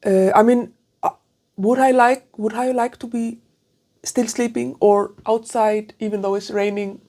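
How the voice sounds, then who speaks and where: A middle-aged woman, an English speaker, chatting casually to camera as a vlogger and thinking aloud in a halting, self-correcting way. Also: on the high side, fairly even intonation, dark tone. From Iceland. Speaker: PhD student vlogger. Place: small room with curtains, indoors. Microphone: clip-on lapel mic.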